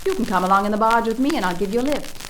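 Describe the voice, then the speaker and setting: A woman's voice: medium pitch, animated, rapid-fire, noisy background, pitched above the performer's own voice, close to an everyday voice. A woman voicing a big, friendly canal barge woman, polite and chatty, in a storybook reading. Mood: friendly, helpful. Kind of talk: audiobook character acting.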